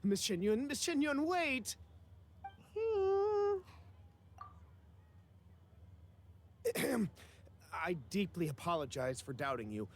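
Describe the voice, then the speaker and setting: High-pitched, excitable fawning client. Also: male voice, takes it slowly, heavily acted. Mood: panicked, apologetic. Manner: wordy, polite, flustered. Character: fawning, wary, excitable male buyer of valuables. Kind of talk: video game voice acting.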